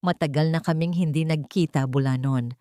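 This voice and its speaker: A woman voicing a calm, guarded wife in a dramatic story, controlled in manner, with a Filipino accent. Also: low voice, moderate pace, dry recording, clean studio sound, lightly acted. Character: composed, guarded young wife hiding her plans.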